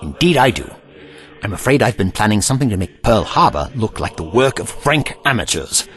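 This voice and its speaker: A man's voice: lowish voice, very animated, brisk, a bit bright. A man playing an eccentric time-travelling adventurer in an audio drama, pompous, theatrical and sardonic. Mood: wry defiance.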